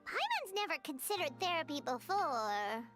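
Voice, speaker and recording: A woman voicing a small, greedy, excitable female fairy companion in a game, with a squeaky childlike voice. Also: normal speed, music in the background. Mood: excited, greedy. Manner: refers to herself in third person, exclaiming.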